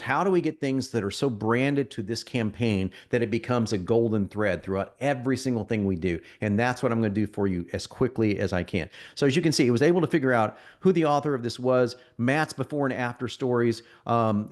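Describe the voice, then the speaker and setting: A man presenting a live marketing software demo, talking in a loose, salesy, conversational way and often stumbling over his words as he restarts them. He is getting over a cold and sounds a little sniffly. His voice sits at an ordinary middle pitch for a man.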